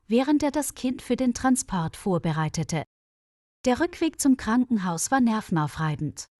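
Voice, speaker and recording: A woman narrates a story for language learners. Her intonation moves up and down in an animated way while her articulation stays careful and measured. The recording is dry, with no room sound. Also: mid-range voice, no room sound.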